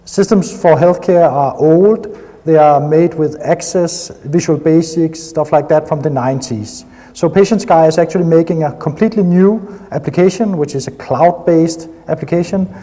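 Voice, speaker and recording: A man's voice: on the high side, normal speed, dark tone. Danish-accented man in a reverberant hall. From Denmark. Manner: enthusiastic, frequent hesitations. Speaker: conference speaker. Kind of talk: technical conference talk. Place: conference hall.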